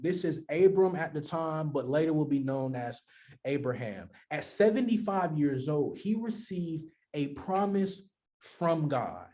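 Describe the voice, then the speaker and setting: High-voiced man preaching a conversational sermon. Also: a little mellow. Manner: conversational preaching, repeats key phrases; reads scripture aloud then explains it.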